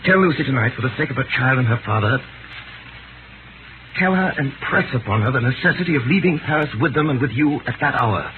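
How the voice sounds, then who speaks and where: A man's voice: mid-range voice, animated, brisk, phone-quality sound, close to an everyday voice. A man acting in a 1930s radio drama as an earnest Englishman resolved on self-sacrifice, speaking in a commanding, solemn, theatrical way. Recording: scratchy, band-limited 1938 radio recording.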